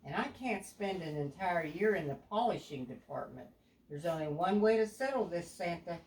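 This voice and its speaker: A woman's voice: on the low side, lively intonation, moderate pace, darkish tone, recorded from a distance. A woman reading the part of an earnest, determined young male tooth fairy from a storybook, politely insistent. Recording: echoey room with background noise.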